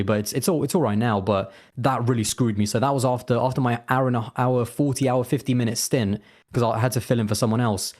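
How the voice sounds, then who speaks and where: A man's voice: mid-range voice, a little mellow. A man chatting as an interview guest, rambling with lots of fillers and restarts. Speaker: guest, racing content creator. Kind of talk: casual interview chat.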